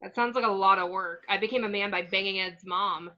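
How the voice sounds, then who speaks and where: A woman's voice: medium pitch, a little fast, pushed and bright, highs cut off, lightly acted. A woman reading the part of a crude, wisecracking thirteen-year-old boy with a mocking, cheeky manner at an amateur table read.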